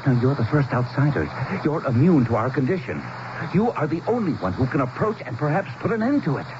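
An actor playing an earnest, anxious, educated town leader, speaking formally and haltingly as he explains, in a radio drama. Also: on the high side, very animated. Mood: urgent, amazed. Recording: muffled, noisy 1970s radio broadcast.

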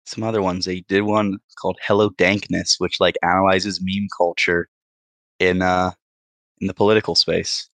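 A man's voice: low voice, little pitch movement, moderate pace, a bit bright, dry recording. A young man co-hosting a podcast, talking in a laid-back, rambling way and laughing at his own jokes. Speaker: podcast co-host. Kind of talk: casual chat.

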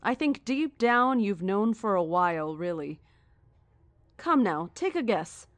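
Creepy calm cult founder lady, formal n measured, mid pitch, dry horror podcast sound. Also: dry recording. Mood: calm, knowing. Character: calm, cryptic female cult founder, coldly certain, faintly menacing. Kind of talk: horror audio drama acting.

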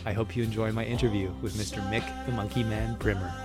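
A man's voice: on the low side, steady tone, fast talker, loud music behind the voice. A man from New South Wales hosting a casual interview, effusive and jokey with his guest. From New South Wales, Australia. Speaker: podcast host.

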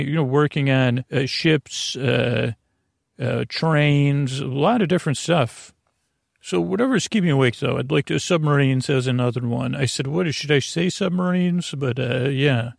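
A man hosting a bedtime podcast in a creaky voice, meandering hesitantly and often repeating and correcting himself. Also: medium pitch, no room sound, clean studio sound. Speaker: podcast host. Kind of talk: rambling bedtime sleep podcast monologue.

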